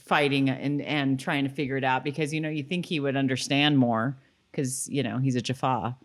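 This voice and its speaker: A woman's voice: rumbling low, steady tone, normal speed. A woman co-hosting a podcast, talking informally as she goes over a TV episode. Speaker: podcast co-host. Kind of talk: casual chat recapping a TV episode.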